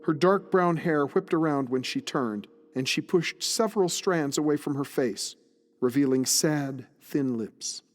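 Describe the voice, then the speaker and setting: A man narrating a murder mystery audio drama in a measured, descriptive storytelling style. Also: a little high, lightly acted. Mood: measured, somber. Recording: long reverb, music behind.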